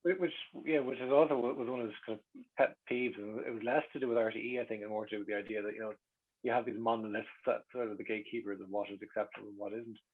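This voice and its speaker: Quick-talking husky Irish man, muffled audio. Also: on the low side, steady tone. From Ireland. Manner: wry, self-interrupting. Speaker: guest. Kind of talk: casual reflective interview chat. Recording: muffled, radio-like call audio.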